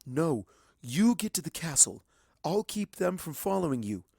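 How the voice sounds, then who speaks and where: A man's voice: fairly high pitch, sing-song, a little slow, gravelly, darkish tone, husky, no echo, studio quality, pitched above the performer's own voice, heavily acted. A man voicing a brave young male dragon in a story reading, earnest and cocky to cover his fear. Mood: determined. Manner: earnest, bravado over fear. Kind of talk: dramatic fan fiction reading.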